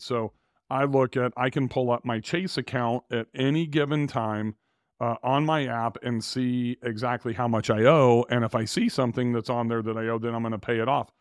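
Guy hosting his show, a bit raspy and tired, rambly chat, dry close mic. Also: medium pitch, dry recording. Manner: rambling, conversational, self-correcting. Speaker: podcast host. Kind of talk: casual solo talk on real estate finance.

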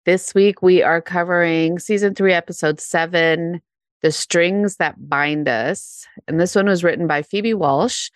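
A woman's voice: on the low side, unhurried, no echo, clean studio sound. A woman co-hosting a podcast, under the weather and a bit nasal, chatting casually and laughing often. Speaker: podcast co-host.

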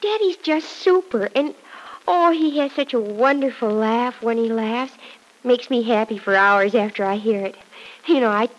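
A woman's voice: on the high side, normal speed, close to an everyday voice, rough recording. A woman playing a cheerful, devoted young farm girl working as a housemaid in a classic radio drama, earnest and artless with folksy exclamations. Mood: fondly enthusiastic.

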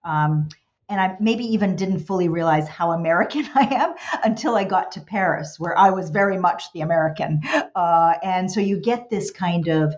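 A woman's voice: on the low side, normal speed, a little mellow. A middle-aged woman whose first language is Hungarian, answering interview questions thoughtfully with many restarts and fillers. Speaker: guest, college president. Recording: dull, lo-fi recording.

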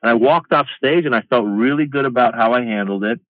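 Brief: middle-aged man from Iran, comedian guest, animated storyteller, tinny phone-like dry audio. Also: medium pitch, brisk, no room sound. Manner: animated, acts out quoted exchanges. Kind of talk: casual interview storytelling.

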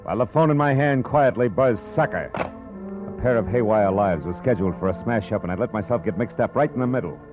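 Lead: actor as a low, dry, sarcastic hard-boiled detective, old muffled radio sound. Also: male voice, a little fast, over music, natural voice. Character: hard-boiled male private detective, wisecracking. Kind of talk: 1950s radio drama narration and acting.

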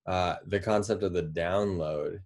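A man hosting a podcast, talking in a relaxed, loose way with halting phrasing. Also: deep voice. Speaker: podcast host. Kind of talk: casual interview talk.

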